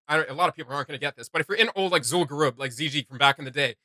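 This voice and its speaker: A man chatting casually as a reaction video host, joking, slangy and animated. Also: a little high, rapid-fire, no room sound, studio quality.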